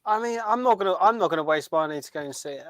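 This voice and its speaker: A man's voice: high-pitched, animated, racing, fairly bright tone, no room sound, studio quality. A British man chatting casually as a podcast co-host. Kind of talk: casual chat.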